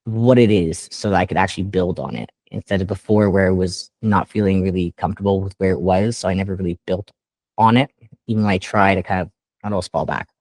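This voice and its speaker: A man with a lowish voice talks casually as an interview guest. He is animated, his pitch leaps upward when he gets excited, and he laughs often. The sound is close and dry, with no room around it. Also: fast talker, no room sound. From Canada. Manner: trained classical singer. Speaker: guest musician.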